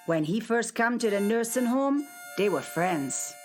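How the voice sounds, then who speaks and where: A woman playing a gentle elderly widow in a nursing home in a radio play, with homespun talk. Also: on the high side, lively intonation, unhurried. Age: older. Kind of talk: radio drama acting. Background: music.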